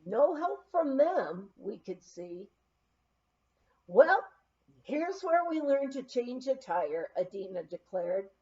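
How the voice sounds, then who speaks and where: A woman narrating a memoir as an audiobook, reading in a measured, expressive storytelling way with deliberate phrasing. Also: high-pitched, dull audio.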